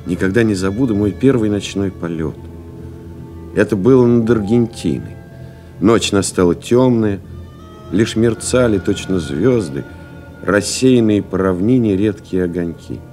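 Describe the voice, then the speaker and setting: An actor playing a reflective male pilot in an audio play, delivering a measured, contemplative literary monologue. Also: lowish voice, mellow, soft background music. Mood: wistful, lyrical. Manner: measured, contemplative, deliberate phrasing. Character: reflective male French pilot recalling his flights. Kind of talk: dramatic literary monologue, scripted narration.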